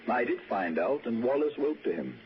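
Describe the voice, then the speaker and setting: A genteel father and confidant in an old radio drama. He speaks formally and deliberately, with kindly probing and a lively melodic range. The sound is dull, with faint noise. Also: mid-range voice. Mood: earnest, reassuring. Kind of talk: 1960s radio suspense acting.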